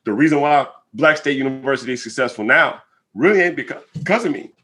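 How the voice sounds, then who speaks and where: Male interview guest, American, mid-pitched and bright-edged. Earnest, emphatic, conversational delivery, checking in with the listener. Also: lively intonation, fast talker. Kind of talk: reflective conversational interview answers.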